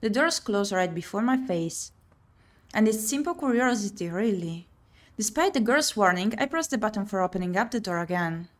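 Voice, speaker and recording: A woman's voice: medium pitch, animated, moderate pace. A woman streamer reading a visual novel aloud in first person and musing over the gameplay. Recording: music under the voice. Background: game music.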